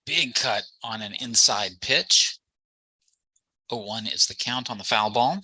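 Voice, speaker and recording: Bright, forceful man calling baseball play-by-play. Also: mid-range voice, slow pace, breathy edge, no room sound, low-quality recording. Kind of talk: live play-by-play commentary.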